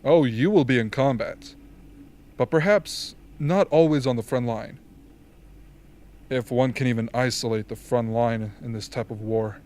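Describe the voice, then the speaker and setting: A man playing an authoritative, measured military strategist in an amateur radio drama. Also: medium pitch, darkish tone, lightly acted. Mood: brisk, official. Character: commanding, dryly formal rebel military strategist briefing soldiers.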